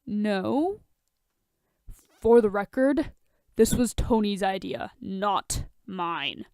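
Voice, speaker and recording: Woman doing a grumpy masked hero guy, totally deadpan and curt, clear dry recording. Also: mid-range voice, no room sound. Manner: deadpan, curt, drawn-out delivery. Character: stern, humorless, brooding masked vigilante man, dry wit.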